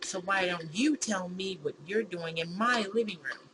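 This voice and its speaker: A woman's voice: on the low side, normal speed, natural voice. A woman voicing a bewildered, argumentative man in a visual novel, with an indignant, pedantic and sarcastic manner. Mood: indignant, startled. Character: bewildered, argumentative man facing his own double. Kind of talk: visual novel character read-aloud. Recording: clear background noise.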